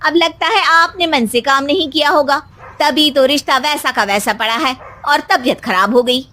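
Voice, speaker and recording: A woman's voice: high voice, moderate pace, a little hiss or hum. A woman voicing a sarcastic, quarrelsome young daughter-in-law in a cartoon story, with a taunting, dramatic manner. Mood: complaining. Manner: taunting, sharp-tongued, dramatic.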